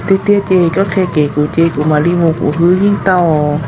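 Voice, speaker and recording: A man voicing an excitable adult man in a folk-tale cartoon, exclaiming often. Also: on the high side, fast talker, background noise, close to an everyday voice. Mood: lively. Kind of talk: cartoon voice acting. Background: music.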